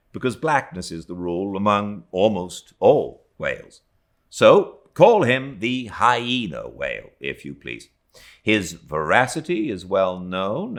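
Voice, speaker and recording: A man with a British accent and a deep voice reads classic literature aloud. He takes his time, moving through the long sentences slowly. His delivery is theatrical, with pitch that rises and falls in a lively way. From Britain. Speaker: audiobook narrator. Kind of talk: literary audiobook narration.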